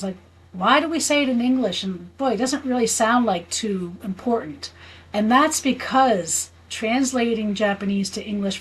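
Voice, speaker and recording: A woman's voice: mid-range voice, breathy edge, low-quality recording. A woman in her fifties with an American accent, talking as a guest in a conversational interview, explaining earnestly and often checking for agreement. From United States. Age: 50s. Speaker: guest, spiritual healer and teacher.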